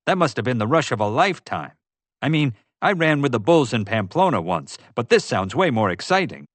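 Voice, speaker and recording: Man acting talkative, carefree thrill-seeker, lively pitch. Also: moderate pace, dry recording. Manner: gushing, talkative, casual. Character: excitable, carefree adventure-loving man. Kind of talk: audiobook character voice.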